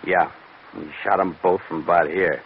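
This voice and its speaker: An actor playing a grumpy, grudging police sergeant who is none too bright, gruff, in an old radio drama. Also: male voice, sits low, steady tone, normal speed. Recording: muffled, noisy old radio recording.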